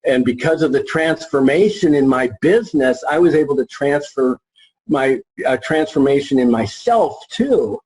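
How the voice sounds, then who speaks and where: Husky-voiced man explaining informally. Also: mid-range voice, expressive, moderate pace, a little mellow, rough recording. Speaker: marketing expert on a panel. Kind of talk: unscripted explanatory conversation.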